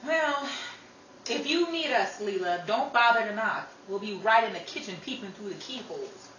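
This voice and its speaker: A woman's voice: medium pitch, expressive, moderate pace, lightly acted. A woman playing a hot-tempered, protective older sister in a 1930s radio drama, brash, scrappy and slangy. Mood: brisk, bossy. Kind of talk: old-time radio drama acting. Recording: roomy, distant mic, faint noise.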